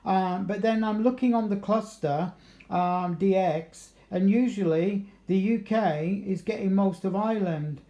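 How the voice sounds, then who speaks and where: Mellow southeast English man, roomy echo. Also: sits high, unhurried. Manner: chatty, matey, with radio procedure words. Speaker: amateur radio operator. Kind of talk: casual radio contact chat. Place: kitchen at home.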